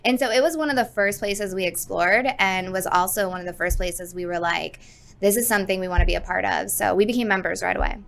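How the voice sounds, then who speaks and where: A woman's voice: mid-range voice, fast, vocal fry. A woman in her thirties, born in Texas and raised in the Northeast US, chatting as a podcast guest in a friendly, easygoing way. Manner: friendly, warm, often laughing, uses fillers. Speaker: guest, hairstylist and entrepreneur. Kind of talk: casual interview chat.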